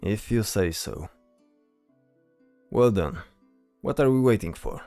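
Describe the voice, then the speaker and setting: A man's voice: lowish voice, lively intonation, takes it slowly, dark tone, dry recording, soft background music. A man playing a cautious, weary soldier with dry patience in a fantasy audio drama. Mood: earnest, uneasy.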